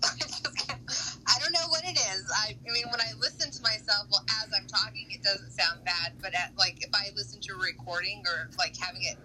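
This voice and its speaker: A woman's voice: mid-range voice, moderate pace, sharp tone, a little hiss or hum, rough recording. A woman chatting casually as a co-host on a gaming stream, in a playful, teasing way. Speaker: stream co-host reading chat comments.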